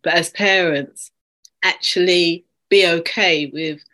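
A woman's voice: fairly low pitch, fairly even intonation, slow, sharp tone. A woman co-hosting a casual podcast chat, speaking earnestly and using vivid images. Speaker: podcast co-host.

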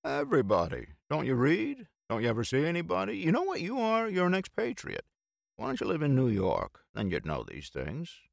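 A man's voice: a little high, wildly swooping pitch, normal speed, creaky, dry recording, clean studio sound, pitched above the performer's own voice, full character voice. A man voicing a boisterous, playfully preachy teasing man in audiobook dialogue, with emphatic, bantering delivery. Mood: mock scolding.

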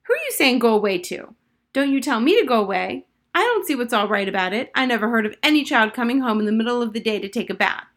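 A woman's voice: high-pitched, expressive, moderate pace, fairly bright tone, pitched above the performer's own voice. A woman voicing a grumbling, put-upon middle-aged cook who scolds through a door. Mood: indignant.

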